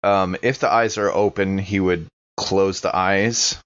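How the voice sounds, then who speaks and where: Male tabletop player, fairly deep, casual in-game narration, slightly muffled recording. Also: fairly even intonation, unhurried, fairly bright tone, clear, resonant tone. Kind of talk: casual in-character narration of an actual-play game.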